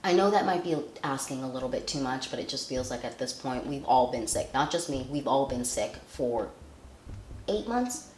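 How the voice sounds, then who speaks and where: A woman's voice: very deep voice, lively intonation, moderate pace, a little mellow, echoey room, slight background noise, low-quality recording. A woman with a nasal voice, chatting casually and rambling as she reviews a beauty product for her channel.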